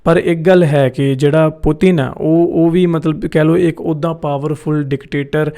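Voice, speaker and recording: A Punjabi man comments casually as host of a reaction channel. His voice sits a little high and stays level, with a soft, mellow tone. He slips English words into his talk. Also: fairly even intonation, unhurried. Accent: Indian Punjabi. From India.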